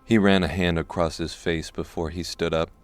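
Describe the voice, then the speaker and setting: A man reading scripted dark fantasy narration as an audiobook narrator. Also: deep voice, little pitch movement, normal speed, soft background music.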